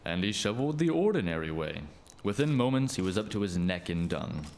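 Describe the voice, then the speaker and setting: A man's voice: on the low side, sing-song, fairly quick, a little mellow. A man playing a storyteller, narrating a scripted folktale. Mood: storytelling.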